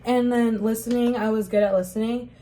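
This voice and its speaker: Soft-spoken young woman, hesitant with fillers. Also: on the high side, fairly even intonation, a little fast. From Rockford, Illinois, USA. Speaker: interviewee. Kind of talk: casual spoken recollection.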